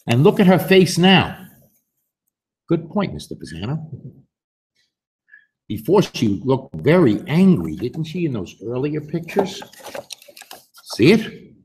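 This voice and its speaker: A man reading a storybook aloud to children in an animated way, putting questions to his listeners in a gently instructive manner. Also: fairly high pitch, takes it slowly, long pauses, low-quality recording. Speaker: storybook reader and host.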